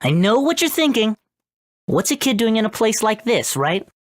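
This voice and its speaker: A woman's voice: on the high side, very animated, normal speed, no echo, studio quality. A woman voicing a brainy, self-assured boy who heads a research lab in a video game, matter-of-fact and bossy. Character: confident brainy boy genius, head researcher.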